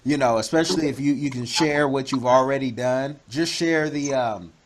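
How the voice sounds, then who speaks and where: A man hosting an interview, chatty and advising, with jokes and loud laughs. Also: mid-range voice, normal speed, slight background noise. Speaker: interview host. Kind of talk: casual interview chat.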